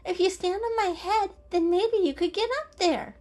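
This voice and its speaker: A woman's voice: very high-pitched, normal speed, darkish tone, voice pushed up. A woman voicing a cute, playful young female cat, bubbly, kind and reassuring, in a children's story. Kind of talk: dramatic children's story reading.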